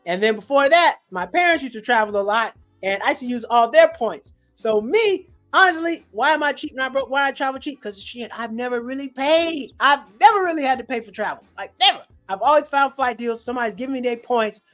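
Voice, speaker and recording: A comedian hosting a casual livestream chat, telling stories in an animated, slangy way. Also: sits high, a little fast, few pauses, faint music behind. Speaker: host and comedian.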